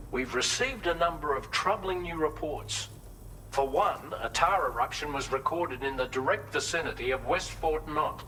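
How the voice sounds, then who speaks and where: An actor playing an earnest, cautious mission adviser in a video game, speaking in a measured, formal and articulate way. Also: male voice, a little high, pushed and bright, a little hiss or hum, low-quality recording. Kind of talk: video game briefing, scripted.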